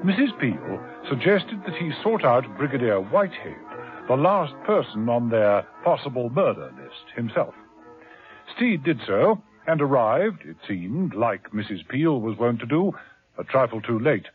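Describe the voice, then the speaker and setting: A man's voice: mid-range voice, sing-song, unhurried, phone-quality sound. A man narrating a 1970s radio drama serial in a dramatic, wry storytelling manner. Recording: thin old radio recording with music.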